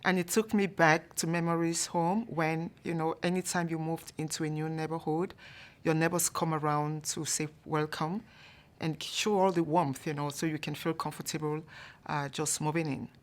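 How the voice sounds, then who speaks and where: Woman from cameroon telling her story, low voice, calm and steady. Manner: calm, measured, many "you know" fillers. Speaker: staff member telling a personal story. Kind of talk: storytelling to an audience.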